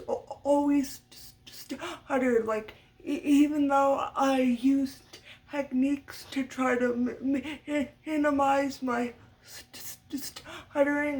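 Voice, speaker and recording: A woman's voice: high-pitched, darkish tone. A young woman vlogger who stutters often, repeating sounds as she tells a sit-down story at home. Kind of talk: sit-down story time talk. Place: home room with her dog nearby. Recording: voice a bit far from the mic.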